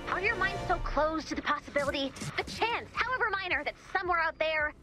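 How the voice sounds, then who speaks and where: Chirpy female game robot: very high, animated, earnest and dramatic, music underneath. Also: fairly quick. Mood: earnest, grand. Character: perky, earnest little female robot directing a film. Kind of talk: video game character voice acting.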